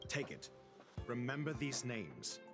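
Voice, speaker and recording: An actor playing a cool, calculating investigator in a video game, speaking in a measured, formal, sardonic and theatrical way. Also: male voice, mid-range voice, very animated, music in the background. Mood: cool, commanding. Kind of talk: dramatic video game voice acting.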